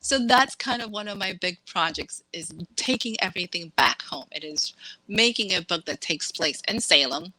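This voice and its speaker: A woman from Massachusetts, an author being interviewed, talking in a lively way and laughing as she acts out quotes. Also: on the low side, moderate pace, dry recording, low-quality recording. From Massachusetts, USA. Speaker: author guest. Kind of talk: casual interview chat.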